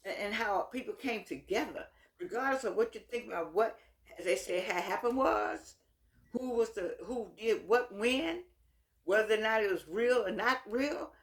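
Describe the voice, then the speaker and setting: An American woman, middle-aged or older, giving unscripted opinion talk to her viewers in an earnest, exhorting manner, often repeating words haltingly. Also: medium pitch. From United States. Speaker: vlogger addressing viewers.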